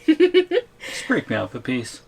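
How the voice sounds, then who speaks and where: A man playing a tiny, nervous boyfriend held by a giantess in an ASMR audio roleplay, hesitant and gently protesting. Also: extremely high pitch, huge pitch swings, slow, gravelly, exaggerated voice. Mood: wary, resigned.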